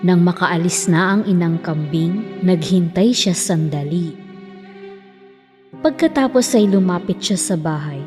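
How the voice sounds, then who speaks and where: Isang babaeng nagsasalaysay ng nakasulat na kuwentong pambata, may alingawngaw at mahinang musika sa likod. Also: female voice, fairly low pitch, a little slow, a little mellow. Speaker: storyteller. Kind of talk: scripted children's fairy tale narration. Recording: long reverb, faint music behind.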